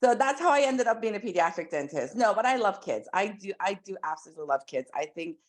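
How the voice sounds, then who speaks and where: A woman's voice: medium pitch, fast talker. A woman in her forties, born and raised in Iran, a pediatric dentist chatting as a podcast guest with lots of likes and ums. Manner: chatty and animated, frequent "like" and "um". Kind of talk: casual interview storytelling.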